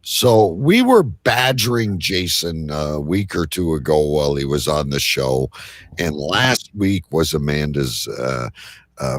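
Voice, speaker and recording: Deep-voiced man hosting, lively pitch swings. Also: no room sound. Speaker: host of a live online show. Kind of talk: casual live show chat.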